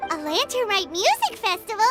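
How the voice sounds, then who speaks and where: A woman voicing a tiny, chirpy and eager fairy companion in a game, with an excitable way of talking. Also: very high-pitched, brisk. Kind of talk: game dialogue. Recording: game audio with loud music.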